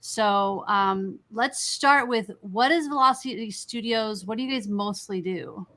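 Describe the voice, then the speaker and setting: Bright-voiced woman hosting an interview. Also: medium pitch, measured pace. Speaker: interview host.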